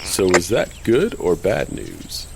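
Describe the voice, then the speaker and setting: A man voicing a gravelly, chatty captive. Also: deep voice, wildly swooping pitch, slow, very noisy, exaggerated voice. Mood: wry. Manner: casual, wisecracking. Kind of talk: audio drama acting.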